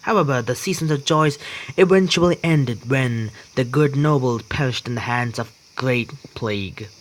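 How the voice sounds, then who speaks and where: A man hosting a game playthrough, commenting casually and reading aloud in a halting way with stumbles. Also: mid-range voice, low-quality recording. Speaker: gaming video host.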